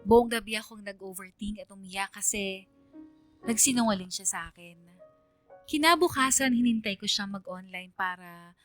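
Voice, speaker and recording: A woman's voice: medium pitch, moderate pace, fairly bright tone, close to an everyday voice. Confiding young Filipina narrator, acted by a woman, music underneath. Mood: hurt. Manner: confiding, reflective. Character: troubled young female student narrating her romance, confiding. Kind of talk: scripted first-person radio drama narration.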